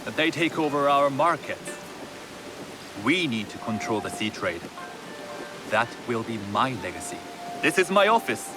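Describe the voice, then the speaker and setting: An actor playing a shrewd young merchant's heir in a video game, formal and businesslike in manner. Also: male voice, mid-range voice, big pitch swings, a little slow, pauses often, music in the background. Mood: confident, measured. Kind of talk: video game cutscene acting.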